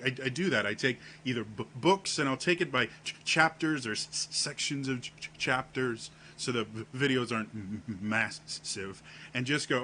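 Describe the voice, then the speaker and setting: A man talking casually to camera on his vlog, with a deep voice and a heavy stutter that repeats the first sounds of words. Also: sharp tone, slight background noise. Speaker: vlogger.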